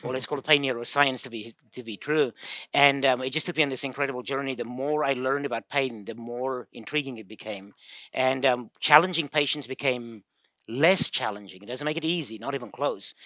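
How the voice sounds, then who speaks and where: A man's voice: medium pitch, a little fast, pushed and bright, no room sound, rough recording. A man from South Africa with a South African accent, a guest pain expert explaining in casual chat, telling stories and quoting patients. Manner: storytelling, laughs easily, quotes patients.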